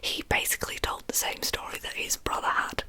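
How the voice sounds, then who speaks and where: A woman with a lisp whispers softly and breathily as an ASMR host, telling true crime stories in an informal, chatty way. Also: fairly quick.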